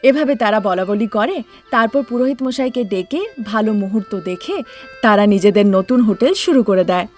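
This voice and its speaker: A woman's voice: medium pitch, normal speed, faint music behind. A woman narrator telling a scripted children's story.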